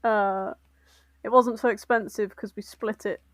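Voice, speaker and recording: A woman's voice: mid-range voice, steady tone, a little slow, a bit bright, no echo. A woman co-hosting a podcast, telling anecdotes casually in a giggly, sweary manner. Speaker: podcast co-host.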